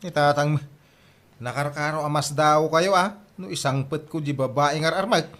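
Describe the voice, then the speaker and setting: A man's voice: a little high, fairly even intonation, moderate pace, a bit bright, lightly acted. A man playing a cocky, lovestruck grown son in an Ilocano radio comedy drama. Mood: smitten, boastful.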